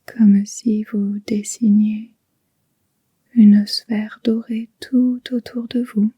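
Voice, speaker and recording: A woman leads a guided relaxation in a very breathy, whispery voice. Her delivery is slow and gentle, with long pauses between phrases, and stays on an even, level tone. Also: mid-range voice, dry recording. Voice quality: soft, breathy, near-whispered. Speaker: yoga nidra guide.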